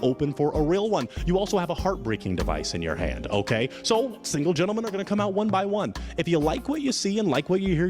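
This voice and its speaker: A man hosting a dating show in an upbeat, playful and teasing way. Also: sits high.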